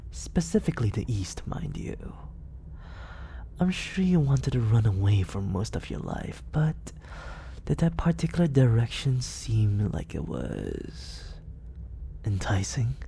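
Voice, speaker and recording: Man acting out a scheming villain mentor, ASMR roleplay, unhurried, swooping intonation, breathy, teasing, secretly fond, close-miked. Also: mid-range voice, very animated, warm tone, a little hiss or hum, heavily acted. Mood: probing, coaxing. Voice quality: breathy, often dropping into whispers. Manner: theatrical, deliberate, silky persuasion. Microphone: close studio condenser microphone.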